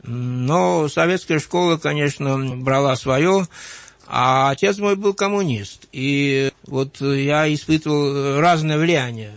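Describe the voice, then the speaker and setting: A man in his sixties from Georgia with a light Georgian accent, a writer recalling his life in an interview, measured and thoughtful with frequent hesitant fillers. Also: on the high side, dry recording. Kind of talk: reflective interview recollection.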